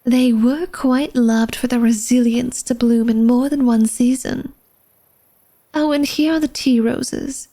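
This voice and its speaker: Slow, seductive vampire hostess played by a woman. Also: fairly high pitch, steady tone, warm tone. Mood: genteel, proud. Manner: formal, genteel, coy, teasing speech addressed to the listener. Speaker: ASMR roleplay voice actor. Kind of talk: ASMR roleplay acting, flirtatious.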